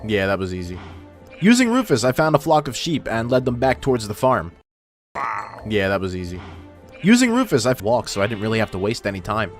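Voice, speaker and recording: A man's voice: fairly low pitch, huge pitch swings, fairly quick. A man narrating gameplay in a casual, informal way with wry asides, his voice run through a voice filter. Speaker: gaming video host. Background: background music.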